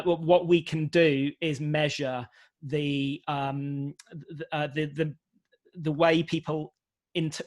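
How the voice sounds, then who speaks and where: Halting man with a slightly high voice. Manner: hesitant, halting, repeats words. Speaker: prison radio broadcaster, panellist. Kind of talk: conversational panel answer.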